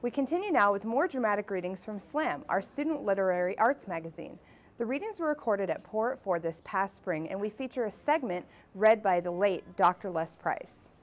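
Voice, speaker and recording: A woman host giving a scripted introduction in a smooth delivery. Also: medium pitch, animated, normal speed, a little hiss or hum. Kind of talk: scripted announcement.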